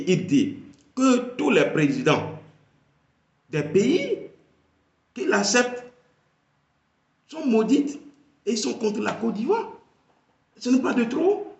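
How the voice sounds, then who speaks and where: A man analysing a video, speaking slowly and emphatically and repeating key phrases. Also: high-pitched, echoey room. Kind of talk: political commentary.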